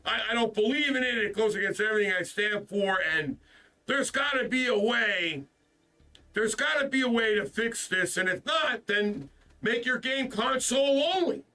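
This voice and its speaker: A man's voice: very high-pitched, normal speed, pushed and bright, recorded from a distance. A man hosting a gaming stream, ranting in a frustrated, profane way, his voice stuffed up from the flu. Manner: frustrated, profane, repeats himself. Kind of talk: casual ranting stream talk.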